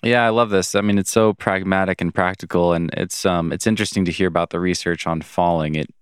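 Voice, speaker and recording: A man's voice: sits low, steady tone, fast talker, clear, resonant tone, no room sound, clean studio sound. A man hosting a podcast interview, asking long, loosely phrased questions in a casual way. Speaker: podcast host.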